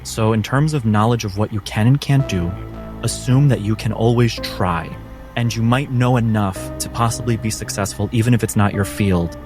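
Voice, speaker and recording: A man acting as game master, narrating a cinematic tabletop story in a measured, descriptive, instructive manner. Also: lowish voice, over music.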